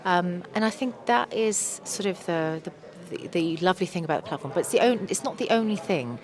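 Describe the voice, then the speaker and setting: A woman attorney with a hoarse voice, eagerly explaining her product in an interview at a trade show. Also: lowish voice, lively intonation. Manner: fast, enthusiastic explaining with many fillers. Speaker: attorney and company representative. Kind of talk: conference booth interview. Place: busy trade show floor. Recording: crowd noise of a trade show. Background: crowd chatter.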